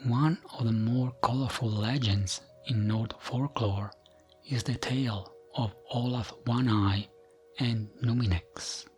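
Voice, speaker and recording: A man tells fantasy legends in a soft, soothing ASMR style. His delivery is very unhurried and hushed, often dropping into a whisper. Music plays behind his mid-pitched voice. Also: steady tone, husky. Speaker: ASMR gaming narrator. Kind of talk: ASMR storytelling, scripted narration of fantasy lore.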